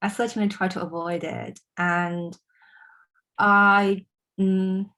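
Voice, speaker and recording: Slow, hesitant woman translator. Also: mid-range voice, little pitch movement, pushed and bright. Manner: hesitant, searching for words, many fillers. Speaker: translator and artist. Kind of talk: online panel discussion.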